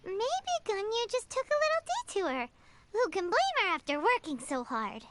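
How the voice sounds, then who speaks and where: A woman's voice: extremely high pitch, huge pitch swings, moderate pace, a bit bright, slight background noise, heavily acted. A woman voicing a tiny, chirpy floating female companion in a game who talks about herself in the third person. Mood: musing. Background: game music.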